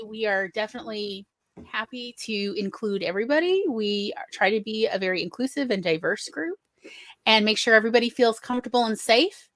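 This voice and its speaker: A woman's voice: on the high side, fairly bright tone, no room sound. A woman in her forties with a Mississippi Southern accent, hosting a casual chat, chatty and reassuring, often calling people honey. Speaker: podcast host.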